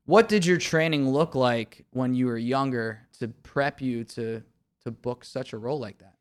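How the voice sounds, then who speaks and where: A man's voice: medium pitch, moderate pace. A man hosting a podcast and interviewing a guest in a chatty, easygoing way. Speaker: podcast host. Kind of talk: casual chat.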